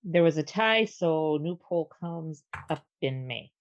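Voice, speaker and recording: A woman's voice: low voice, lively intonation, unhurried, lo-fi sound. A woman co-hosting a podcast in casual chat, chiming in agreeably. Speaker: podcast co-host.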